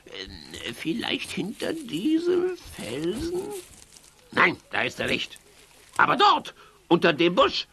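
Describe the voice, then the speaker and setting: Shrill, creaky, grumpy cartoon villain. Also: male voice, wildly swooping pitch, slow pace, bright, forceful tone, slight background noise, full character voice. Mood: impatient. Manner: gloating, theatrical, cackling. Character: gleeful grumpy villain, hates children and colors. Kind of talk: children's audio drama acting.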